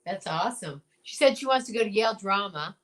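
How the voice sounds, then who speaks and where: A woman's voice: mid-range voice, animated, fairly quick. A woman co-hosting a show in casual interview chat, with an effusive, encouraging manner. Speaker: show co-host.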